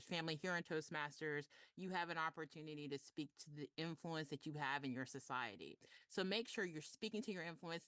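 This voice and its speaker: A woman's voice: low-pitched, fairly bright tone, smooth, no echo. A woman guest speaker giving a short inspirational talk in a preacherly manner, calling her listeners family. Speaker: guest speaker from a sister club.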